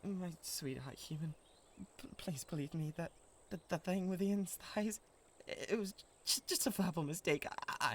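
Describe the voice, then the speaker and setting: A man's voice: sits high, a bit bright. A man with a British accent playing a lovesick, possessive giant who stammers and gets defensive in a comic audio roleplay. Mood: desperate pleading. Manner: stammering, pleading, easily riled defensiveness.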